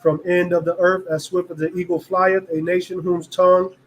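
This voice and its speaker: High-pitched guy reading verses out slow and careful, bit of noise behind. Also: level tone, poor audio. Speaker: class member. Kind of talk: reading scripture aloud in class.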